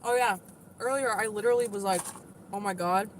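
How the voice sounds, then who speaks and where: A woman with a moderately deep voice, livestreaming and answering her viewers' comments in a chatty, defensive way, full of "like" and "literally". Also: fairly quick, slight background noise.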